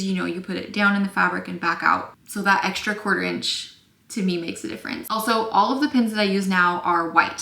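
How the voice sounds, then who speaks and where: A young woman teaching sewing to camera in a chatty, casual way, a little scratchy-voiced and quick to apologize. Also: on the low side, sharp tone. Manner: chatty, apologetic, lots of fillers. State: slightly scratchy voice. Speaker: sewing instructor. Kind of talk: casual tutorial talk to camera. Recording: echoey room.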